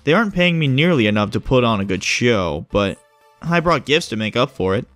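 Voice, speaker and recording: A man's voice: medium pitch, very animated, fairly quick, a bit bright, no echo, soft background music. An actor playing a bored, easygoing male minder with an offhand, rambling manner in a horror audio drama. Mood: breezy, bored. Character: bored, flippant male babysitter-bodyguard, easygoing oddball.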